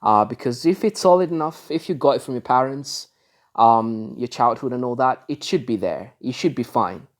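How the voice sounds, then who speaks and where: A man's voice: medium pitch, expressive, moderate pace. A man from Africa giving reaction commentary on a video, lecturing his viewers with frequent restarts and fillers.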